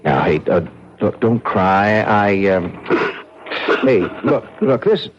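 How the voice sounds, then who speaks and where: An actor in an old radio drama playing an awkward, well-meaning investigator, doubtful yet soothing and hesitant. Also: male voice, lowish voice, very animated, slow pace, slight background noise. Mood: awkwardly soothing. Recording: dull old radio recording.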